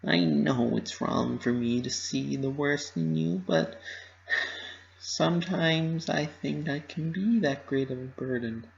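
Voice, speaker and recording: Guy doing a high girly pony voice, anxious and guilty, pleading, storybook reading, kinda muffled. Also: expressive, slow, gravelly. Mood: sad, apologetic. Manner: self-critical, pleading, emotional delivery. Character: anxious, guilt-ridden young female pink pony. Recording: slightly muffled lo-fi recording.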